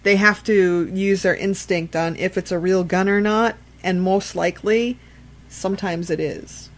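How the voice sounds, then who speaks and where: A woman's voice: medium pitch, fairly even intonation, a little slow. A woman co-hosting a casual show, earnestly explaining safety tips at length. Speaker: podcast co-host. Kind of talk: casual chat.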